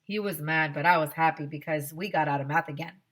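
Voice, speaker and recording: An American woman, a teacher, reading a children's story aloud in an expressive way. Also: low voice, brisk, low-quality recording. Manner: expressive, acting out dialogue.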